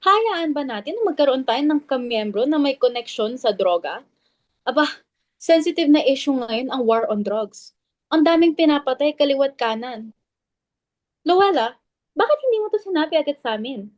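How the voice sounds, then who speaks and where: A woman's voice: high voice, lively intonation, moderate pace. A woman acting a blunt, suspicious, confrontational club member in a dramatic reading, insistent and accusing. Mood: accusing, firm.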